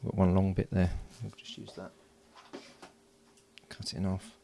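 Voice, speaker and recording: A man giving relaxed, matter-of-fact running commentary as he solders at a home workbench, recorded by an overhead camera. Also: low voice, unhurried, vocal fry, a little hiss or hum. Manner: mutters along while working, relaxed and matter-of-fact. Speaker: electronics hobbyist. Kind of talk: casual build commentary.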